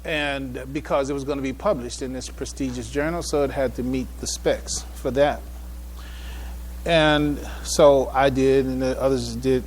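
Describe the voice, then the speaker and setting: A man giving a public address as a presenter, speaking in a halting way with repeated phrases and restarts. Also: medium pitch, fairly even intonation, a little mellow, a little hiss or hum, rough recording. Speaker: conference speaker presenting a paper. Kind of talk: public address, informal preamble then reading a paper aloud. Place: public event before an audience.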